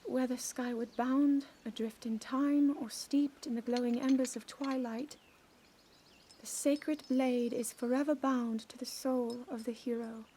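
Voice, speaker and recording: A woman playing a solemn young princess in a video game cutscene, formal and measured, as if reciting a ceremonial blessing. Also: on the high side, steady tone, warm tone, a little hiss or hum, close to an everyday voice. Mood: solemn, reverent.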